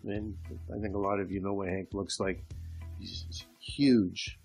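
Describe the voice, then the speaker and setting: A man with a lowish voice, congested and a little hoarse, talks casually as he hosts a podcast from home. He tells stories in a relaxed, conversational way. The sound comes through an aging microphone with faint music underneath. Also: a little slow. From Canada. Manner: conversational storytelling, with restarts. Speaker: podcast host. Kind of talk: casual solo podcast monologue. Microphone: old home microphone with hiss.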